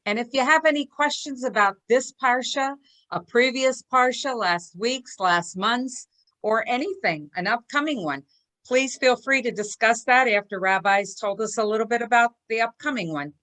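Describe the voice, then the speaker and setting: Female host, fairly high pitch, bright forceful tone, welcoming announcements, polite measured delivery. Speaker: host introducing a study session. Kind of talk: welcoming announcements to an online group.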